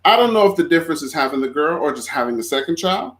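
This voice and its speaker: A man's voice: on the high side, very animated, rapid-fire, a bit bright. A man in his thirties from Florida, a podcast guest talking in an easygoing, chatty way. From Florida, USA. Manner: easygoing, chatty, laughs easily. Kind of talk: casual conversation.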